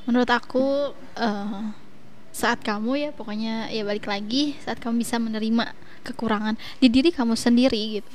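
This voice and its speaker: A woman in her twenties talking as a guest on a casual podcast, relaxed, with hesitant fillers and frequent giggles. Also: a little high, normal speed, a little hiss or hum. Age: 20s. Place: coffee shop.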